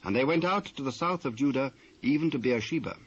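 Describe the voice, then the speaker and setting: A man with a British accent narrating dramatised scripture in a measured, formal manner. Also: medium pitch, animated, slightly rough. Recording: muffled old cassette transfer.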